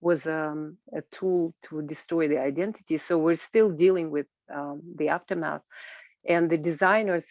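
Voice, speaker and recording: A woman panellist with a gravelly, creaky edge gives an informal talk over a video call. She speaks haltingly, with frequent filled pauses. The sound is dull and band-limited, close and dry. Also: sits low, steady tone, no echo. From Kazakhstan. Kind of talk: informal spoken presentation at an online roundtable. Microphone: video call microphone.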